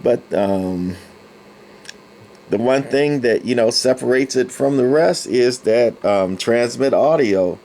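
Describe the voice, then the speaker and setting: A man giving a casual, halting technical show-and-tell as a hobbyist collector, rambling with frequent pauses. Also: mid-range voice, expressive, warm tone, poor audio. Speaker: vintage radio collector presenting a radio on the bench.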